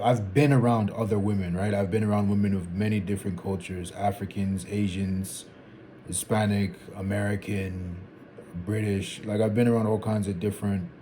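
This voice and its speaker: A man in his twenties thinking out loud in a casual, rambling vlog, restarting sentences and dropping "like" and "bro". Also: on the low side, slight background noise. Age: 20s. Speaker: vlogger. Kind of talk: casual reflective monologue.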